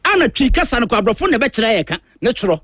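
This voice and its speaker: A man from Ghana with a Ghanaian accent, hosting a radio drive show in a studio and talking in an emphatic, animated, opinionated way while switching between languages. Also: very high-pitched, rapid-fire, no room sound. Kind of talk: opinionated talk-radio monologue.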